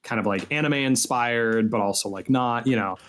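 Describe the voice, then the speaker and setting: Guy hosting a podcast, mid pitch, casual and enthusiastic, kinda loose wording. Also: moderate pace, a bit bright. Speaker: podcast host. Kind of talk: casual interview chat.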